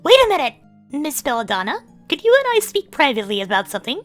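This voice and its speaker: A young woman acting an earnest, friendly and informal young queen in an expressive fan dub. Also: high voice, fast talker, soft background music. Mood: eager.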